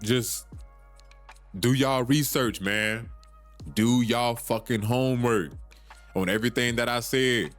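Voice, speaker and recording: A man's voice: medium pitch, steady tone, slow, long pauses, a bit bright, soft background music. A man hosts a solo podcast, talking in an urgent, preachy way that repeats points and swears casually. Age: 30s. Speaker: podcast host.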